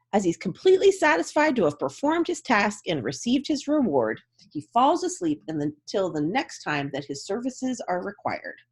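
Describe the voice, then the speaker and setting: A woman's voice: mid-range voice, husky. A woman giving a dramatic, theatrical storybook reading as narrator. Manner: theatrical, expressive.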